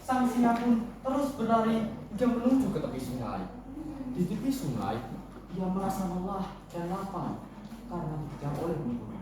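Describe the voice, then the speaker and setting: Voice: medium pitch, sing-song, normal speed. A contest storyteller narrating a tale in a theatrical, declamatory way. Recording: echoey hall, distant mic, noisy.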